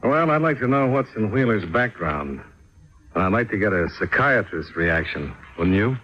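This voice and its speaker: An actor playing a composed investigator in an old radio drama, clipped and matter-of-fact in narration and dialogue. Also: male voice, on the low side, lively intonation, normal speed, close to an everyday voice. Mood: probing, businesslike. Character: cool, composed male investigator narrating his case. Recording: muffled old radio recording. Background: music.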